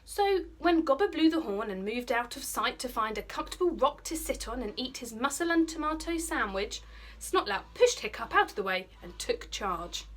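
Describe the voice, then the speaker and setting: A British woman in her thirties, a primary teacher, reading a children's story aloud in an expressive, explanatory way. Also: a little high, normal speed. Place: small bright indoor room by a window.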